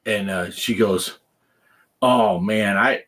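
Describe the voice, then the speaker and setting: A man's voice: on the low side, takes it slowly, a bit bright. A man in his fifties hosting a casual gaming podcast, telling stories with lots of laughs and acting out quoted lines. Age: 50s. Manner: chatty storyteller who laughs often and acts out quoted lines. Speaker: podcast host. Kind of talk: casual chat.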